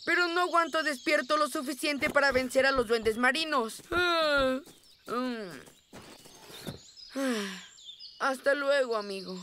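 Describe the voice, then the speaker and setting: A woman playing a gentle, good-natured young boy bear in a cartoon dub, with a mild, hesitant, childlike manner. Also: high voice, slow pace, pauses often, slight background noise, exaggerated voice. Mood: drowsy, apologetic. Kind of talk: dubbed children's cartoon acting.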